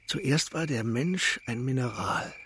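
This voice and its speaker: Slow, wise older shopkeeper. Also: male voice, medium pitch, fairly bright tone, slight background noise. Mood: calm, contemplative. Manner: slow, deliberate, philosophical. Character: calm, wise older shopkeeper and fatherly mentor. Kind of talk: radio play acting.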